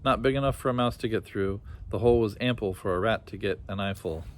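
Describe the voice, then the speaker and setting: A man reading a children's story aloud as an expressive storyteller. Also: fairly low pitch, quick pace, slight background noise.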